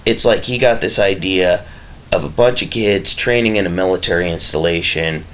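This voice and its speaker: Male vlogger, notably deep voice, rambling and easygoing, recorded on a dull lo-fi mic. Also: moderate pace, fairly bright tone. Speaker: vlogger reviewing a film. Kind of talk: casual, rambling vlog review. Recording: dull lo-fi mic with clear background noise.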